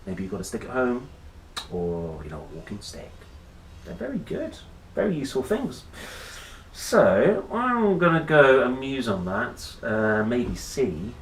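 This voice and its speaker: A man reading a children's picture book aloud in a playful, expressive manner, with chatty asides. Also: fairly low pitch. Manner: playful, expressive, dramatic pauses and exclamations. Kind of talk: animated storybook read-aloud with chatty asides. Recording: roomy echo, faint background noise.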